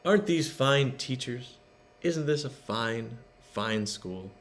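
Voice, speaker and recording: A man's voice: medium pitch, lively intonation, takes it slowly. Man voicing a proud, emphatic school principal making proclamations. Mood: admiring. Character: proud, enthusiastic male school principal announcing more school.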